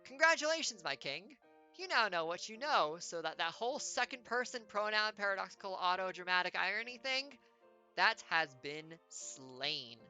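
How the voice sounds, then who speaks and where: A woman's voice: mid-range voice, lively intonation, normal speed, pushed and bright, clean tone, faint music behind. A woman streamer reading a choose-your-own-adventure game aloud, with playful, wry jokey asides.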